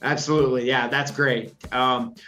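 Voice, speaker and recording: A man's voice: medium pitch, flat delivery, moderate pace, pushed and bright, soft background music. A male show host interviewing a guest, talking casually and enthusiastically with lots of fillers and rambling asides.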